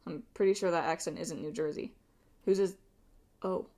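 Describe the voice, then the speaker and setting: A woman's voice: lowish voice, steady tone, normal speed, a little mellow, lightly acted. A woman narrator plays a brash teenage boy hero in an adventure audiobook. He talks casually and bluntly, with a cheeky, wisecracking manner.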